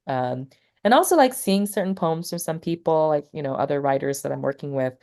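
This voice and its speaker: A woman's voice: deep voice, expressive, fairly quick, darkish tone. A woman poet and teacher talking casually in an interview, rambling and full of fillers like "like" and "you know.".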